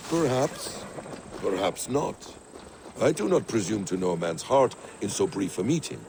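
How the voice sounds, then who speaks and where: An actor playing a shrewd, philosophical assassin in a video game, speaking in a measured, formal, wry way. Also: male voice, lowish voice, big pitch swings, a little mellow, slight background noise. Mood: wry, persuasive. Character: shrewd, silky schemer and assassin, male, philosophical and watchful.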